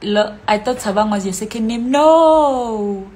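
A woman's voice: fairly high pitch, lively intonation, measured pace, darkish tone. A young South African woman chatting casually on a phone live stream from a small room, reading out comments with a playful manner that drops into whispers. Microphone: phone at arm's length.

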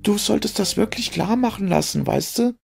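Man putting on a shrill-pitched drunken nagging woman. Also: expressive, normal speed, creaky, music in the background, heavily acted. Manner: slangy, pushy.

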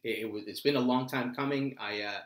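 A middle-aged American man chatting casually in a halting, reflective way, often repeating words. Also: medium pitch, fairly even intonation. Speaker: co-host, the father. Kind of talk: casual chat. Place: small room indoors, seated at a table. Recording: slightly echoey room.

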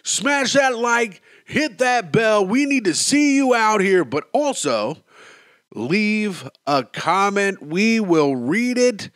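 A young man with a somewhat high voice talks his way through a solo podcast episode. He is rambling and self-mocking, with a stuffed-up, sniffly nose. The sound is dry and close, with no room around it. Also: fairly bright tone, no room sound. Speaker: podcast host.

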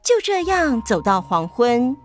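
A woman's voice: on the high side, big pitch swings, measured pace, soft background music. A woman narrating a scripted story for children.

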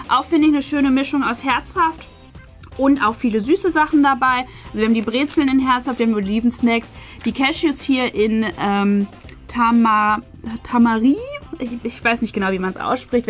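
High-voiced woman, casual unboxing chat. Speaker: host of an unboxing video. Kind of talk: casual chatty product review. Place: small decorated indoor room. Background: faint music.

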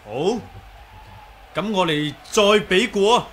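A man's voice: high-pitched, animated, slow pace, fairly bright tone, full character voice. A man with a Hong Kong Cantonese accent playing a polite, composed young martial arts hero in a radio drama. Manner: polite, formal, earnest. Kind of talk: 1980s radio drama acting. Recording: noisy old 1980s radio recording.

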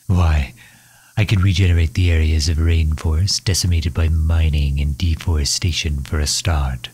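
A man's voice: a bass voice, mellow, husky, heavily acted. A man playing a refined, courteous villain and inventor in an intimate audio roleplay, speaking formally and solicitously, as if musing aloud. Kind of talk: intimate audio roleplay, ASMR-style acting.

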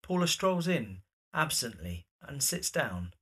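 A narrator reading scripted stage directions for an audio drama in a measured, descriptive way. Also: medium pitch, sing-song, a bit bright, husky.

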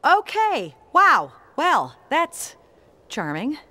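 A woman's voice: sits high, huge pitch swings, extremely slow, sharp tone, no echo, heavily acted. A woman playing a confident young sports car in a video game, wry and teasing.

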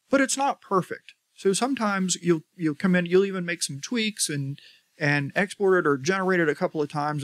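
American man, slightly high-voiced, casual tutorial host. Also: no echo. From United States. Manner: conversational, emphatic inflection.

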